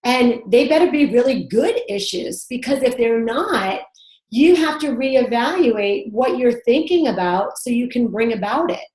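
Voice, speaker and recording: A hoarse woman recovering from strep throat, giving emphatic, self-assured motivational talk about network marketing on a conference call. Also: fairly high pitch, animated, a little slow, a little mellow, roomy sound, poor audio. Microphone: phone or computer on a conference call.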